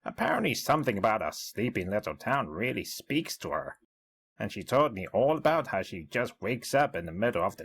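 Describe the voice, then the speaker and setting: Audio story: male reader as wry small-town B&B owner, husky, mid-pitched, very animated and chatty. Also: full character voice. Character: chatty, mildly skeptical small-town bed-and-breakfast owner. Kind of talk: first-person diary narration in an audio story.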